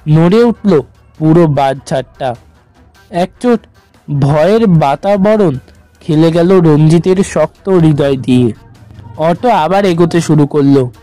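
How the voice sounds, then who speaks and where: A man narrating a scripted horror story in a measured, suspenseful manner. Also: high voice, mellow.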